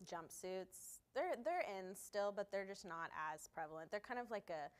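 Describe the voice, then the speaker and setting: A woman, co-hosting a casual talk show, speaking haltingly with fillers and restarts. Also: medium pitch, very animated. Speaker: co-host. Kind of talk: casual chat.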